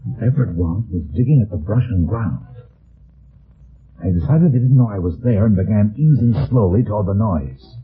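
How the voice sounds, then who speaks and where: A man's voice: on the low side, animated, slight background noise, close to an everyday voice. An actor playing a wry, wary, heavyset private detective, narrating his case tersely in a radio drama. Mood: tense, watchful. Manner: deliberate, terse, dry storytelling. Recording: old phone-like radio recording, music behind.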